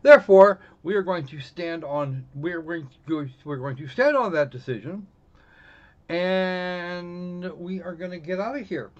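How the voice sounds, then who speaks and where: Male streamer, slightly high voice, casual and rambling, lo-fi sound. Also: a little slow. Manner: rambling, self-correcting. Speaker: live stream host. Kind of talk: casual livestream chat while gaming. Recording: dull, lo-fi voice recording.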